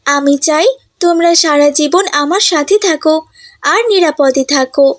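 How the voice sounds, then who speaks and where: A caring cartoon mother duck, voiced by a woman. She speaks in a high, dry-sounding voice, soothing and protective, with a cautioning motherly manner suited to a fairy tale. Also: moderate pace, dry recording, natural voice. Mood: tender. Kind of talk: animated fairy-tale voice acting.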